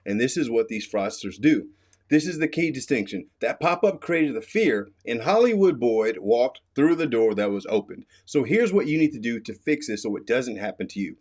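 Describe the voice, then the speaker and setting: Animated American man explaining with emphasis. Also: medium pitch, moderate pace. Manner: emphatic, instructive, repeats key warnings. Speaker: host, cybersecurity expert. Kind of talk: explainer video commentary.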